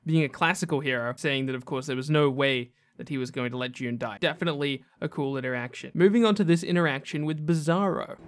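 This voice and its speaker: Enthusiastic, higher-pitched male gaming host. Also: fast, few pauses. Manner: enthusiastic, conversational. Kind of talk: casual countdown commentary.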